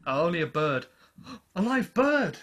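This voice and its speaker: A man's voice: high-pitched, very animated, unhurried, fairly bright tone, heavily acted. Solemn, weary male survivor, played by an actor. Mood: startled, hesitant. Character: weary, lonely male survivor of a Martian invasion. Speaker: actor in a radio play. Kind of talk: dramatic radio play narration and dialogue.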